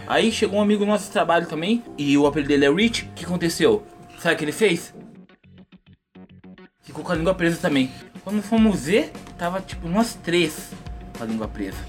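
A man's voice: a little high, animated, brisk, soft background music. A man, joking and rambling as a guest on a casual podcast. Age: 30s.

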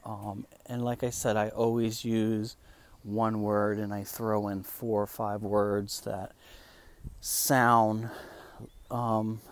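Slow, calm man coaching English. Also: fairly low pitch. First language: Spanish. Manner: calm and relaxed, many fillers. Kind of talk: relaxed teaching monologue.

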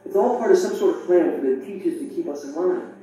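An actress playing a defiant, scornful young unbeliever in an audio drama, arguing and mocking. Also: female voice, lowish voice, lively intonation, fast, mellow, natural voice. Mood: bitter, confiding. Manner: argumentative, mocking. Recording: very echoey playback from far off.

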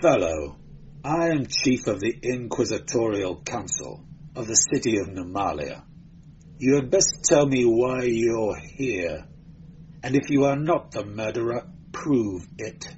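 A man voicing a keen, cool chief investigator in an audiobook, questioning with brisk authority. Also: mid-range voice, very animated, darkish tone. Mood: commanding. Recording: dull, noisy amateur recording.

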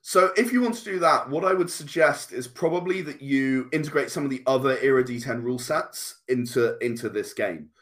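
A man's voice: mid-range voice, fairly quick, hardly any pauses, fairly bright tone. A man speaking as a guest game designer in a casual interview, explaining in long, enthusiastic runs.

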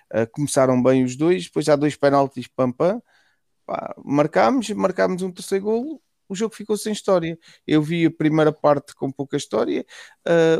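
A man's voice: a little high, vocal fry, mellow, dry recording, studio quality. A man with a European Portuguese accent giving his take on a football match in a casual chat, rambling and restarting often. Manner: rambling, with many restarts and filler words. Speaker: football pundit.

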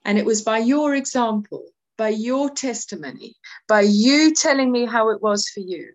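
A middle-aged woman from South Africa with a South African accent, sharing her recovery story in a heartfelt, halting way. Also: on the high side, lively intonation. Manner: heartfelt, halting, many restarts. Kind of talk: personal testimony at a recovery meeting.

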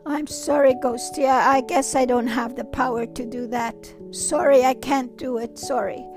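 A woman's voice: high-pitched, fairly even intonation, moderate pace, music in the background, pitched below the performer's own voice. A woman voicing an apologetic magical man who stammers as he admits failure. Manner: hesitant and apologetic.

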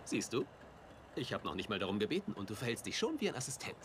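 A man's voice: on the low side, big pitch swings, fast talker, background noise. A man playing an eccentric, cheeky, self-assured private detective in a whimsical, theatrical dubbed TV drama style. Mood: smug, cheeky. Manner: whimsical, theatrical, swings from grand to offhand. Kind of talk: dubbed TV comedy-mystery drama acting.

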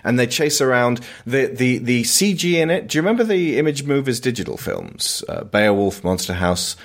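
A man's voice: mid-range voice, huge pitch swings, moderate pace, vocal fry. A man in a casual film discussion, talking as a podcast host in an emphatic, opinionated way.

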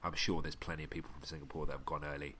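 A man with a very low, deep voice talks casually on a podcast, joking and laughing often. He reads listener messages aloud in a relaxed, slangy way. A faint hiss of background noise sits under him. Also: very fast. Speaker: podcast co-host.

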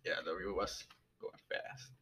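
A young man from Puerto Rico, a native Spanish speaker with a Puerto Rican accent, speaking halting English and searching for words. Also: sharp tone. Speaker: ESL student.

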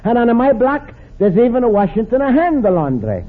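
A man's voice: very high-pitched, very animated, moderate pace, phone-quality sound, slight background noise. An actor playing a sweet, naive Italian immigrant man with an Italian accent and broken English, in an old radio comedy. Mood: wistful. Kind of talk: 1950s radio comedy acting. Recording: old radio broadcast, studio audience.

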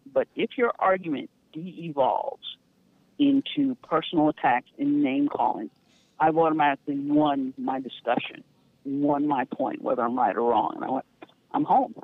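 A middle-aged woman, a podcast guest, telling candid stories in a frank, lively way with easy laughter and a neutral accent. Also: low voice, no room sound. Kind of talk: candid storytelling. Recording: tinny phone-line sound, dry.

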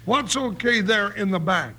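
An actor playing a loyal, folksy, slow-witted cowboy sidekick in an old radio western, with an American accent and a gravelly voice. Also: male voice, sits high, animated, bright, forceful tone, exaggerated voice. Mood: baffled. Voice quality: gravelly, creaky. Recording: hissy old radio broadcast.

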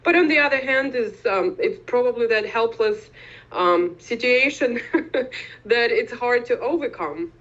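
A woman's voice: a little high, lively intonation, fairly bright tone. Young woman from ukraine, lots of ums, kinda muffled lo-fi audio. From Eastern Ukraine. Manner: hesitant, many fillers and pauses. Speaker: guest. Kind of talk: conversational interview.

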